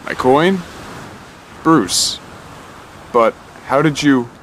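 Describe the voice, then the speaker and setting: A man voicing an earnest, hopeful young male lawyer who speaks sincerely and a little haltingly in a fan radio drama. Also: medium pitch, animated, fairly bright tone, slight background noise.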